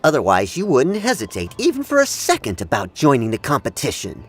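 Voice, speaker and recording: A man's voice: medium pitch, wildly swooping pitch, brisk. An actor playing a proud, blunt young samurai swordsman in an anime game, formal and haughty. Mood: condescending. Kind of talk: anime game character acting. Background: background music.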